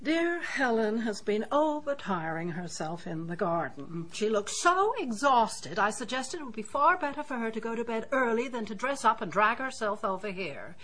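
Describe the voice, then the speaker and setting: A woman's voice: fairly high pitch, very animated, normal speed, few pauses, fairly bright tone, low-quality recording. An actress playing a fussy, snobbish middle-aged society mother in a radio drama, with an imperious, disapproving, clucking manner. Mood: dismissive. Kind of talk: BBC radio drama acting.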